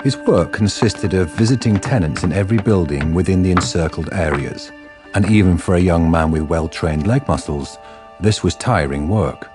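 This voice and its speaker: A man's voice: low-pitched, normal speed, over music. A man narrating a radio drama from a script.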